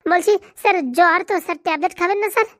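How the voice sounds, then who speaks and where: Woman acting as male cartoon sidekick, high voice, rapid-fire chatter, scheming, fretful, deferential. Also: a bit bright, no echo, clean studio sound. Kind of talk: comic Bengali cartoon dialogue.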